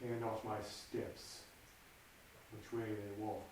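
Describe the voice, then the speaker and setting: A guilt-ridden lord played by a man declaims intense Shakespearean verse in a high-pitched voice. He is caught by a distant mic, with a faint hiss under the sound, and the big hall rings around every line. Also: normal speed. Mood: hushed, brooding. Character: guilt-ridden male Scottish lord, murderer. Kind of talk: stage play performance. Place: theatre stage in a large hall. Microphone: distant room mic.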